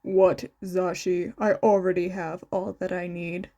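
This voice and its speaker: A weary man of few words in a storybook reading, put on by a woman. The delivery is dropped low and unhurried, dry and unbothered. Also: little pitch movement, warm tone, natural voice. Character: tired, laconic grown man, dry and unbothered.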